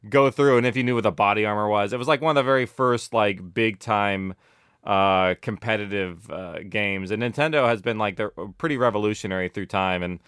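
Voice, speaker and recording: A man speaks in a low, crisp voice with a very dry sound. He chats casually as a guest, rambling through his answers and often restarting or correcting his words. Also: fairly quick, no room sound. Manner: animated rambling, self-correcting, peppered with "like" and "you know". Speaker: interview guest, audio drama producer. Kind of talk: casual interview chat.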